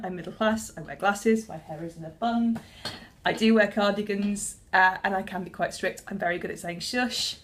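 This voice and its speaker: Chatty young woman librarian. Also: mid-range voice. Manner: chatty, self-deprecating, with frequent restarts. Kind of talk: casual talk to camera.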